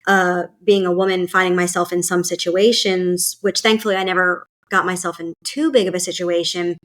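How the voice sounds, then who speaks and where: A woman's voice: lowish voice, little pitch movement, normal speed, fairly bright tone. A woman hosting a podcast, talking casually with lots of fillers and asides. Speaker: podcast host. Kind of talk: casual conversation.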